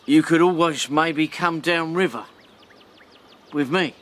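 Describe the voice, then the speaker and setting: Cast a man as a rough, halting riverboat captain with a slangy edge. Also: a little high, fairly bright tone, a little hiss or hum. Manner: blunt, halting, slangy speech. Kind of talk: radio drama acting.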